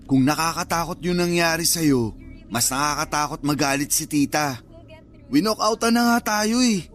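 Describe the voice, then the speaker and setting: An actor playing a nervous, well-meaning young cousin in a radio drama, colloquial and concerned. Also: male voice, a little high, moderate pace, a bit bright, soft background music, lightly acted. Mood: placating.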